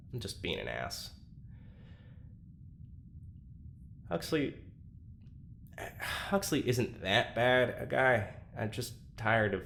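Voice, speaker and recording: Weary, gravel-edged male prisoner. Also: mid-range voice, a bit bright, slight background noise, exaggerated voice. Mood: wry, apologetic, then weary, resigned. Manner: halting, apologetic, self-deprecating. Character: weary, self-loathing male prisoner and test subject, wistfully lovelorn. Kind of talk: confessional audio drama monologue.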